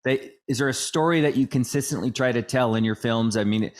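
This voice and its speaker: A man's voice: mid-range voice, fairly quick. A man hosting a podcast interview, asking probing questions with hedging fillers. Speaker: podcast host.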